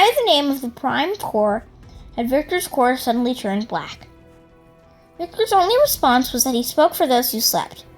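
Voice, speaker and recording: A woman voicing an earnest female rebel robot, solemnly reading dramatic logs of an uprising. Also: high voice. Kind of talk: scripted dramatic log narration. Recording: music behind the voice.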